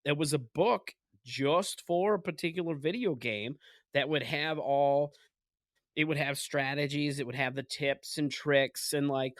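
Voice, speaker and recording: A man's voice: a little high, steady tone, measured pace, no echo, studio quality. A man co-hosting a comedy podcast, rambling casually with lots of fillers and frequent laughter. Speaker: podcast co-host.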